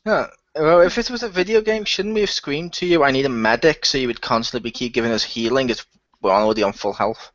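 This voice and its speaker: A man in a casual group chat, telling stories in an animated way, acting out quotes and swearing freely, on a slightly lo-fi recording. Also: medium pitch, quick pace. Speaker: radio play cast member on a wrap-up discussion.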